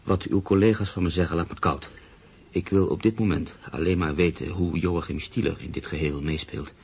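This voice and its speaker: A deep, gravelly, brooding male writer. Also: steady tone, a little fast, a little mellow, full character voice. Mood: indignant. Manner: formal, hesitant, brooding. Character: proud, troubled middle-aged male writer, brooding and easily flustered. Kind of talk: radio drama dialogue and reflective narration. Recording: radio play with music underneath.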